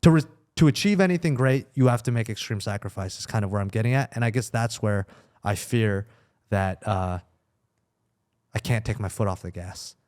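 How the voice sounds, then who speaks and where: A man speaking candidly and casually as a podcast guest, introspective and haltingly searching for his words. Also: lowish voice, darkish tone.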